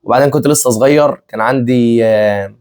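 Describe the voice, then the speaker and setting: Young Egyptian man, casual family-vlog host, rapid-fire chatter, mid-pitched. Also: darkish tone. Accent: Egyptian Arabic. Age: 20s. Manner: chatty, repeats himself, laughs often. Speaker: vlogger, channel co-host. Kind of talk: casual chat with viewers.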